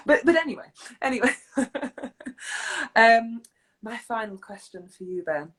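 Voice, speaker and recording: A woman's voice: fairly high pitch, expressive, unhurried, a bit bright. A woman hosting a podcast interview, chatting in a friendly, agreeable way and laughing often. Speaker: podcast host, vocal coach. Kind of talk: casual interview chat.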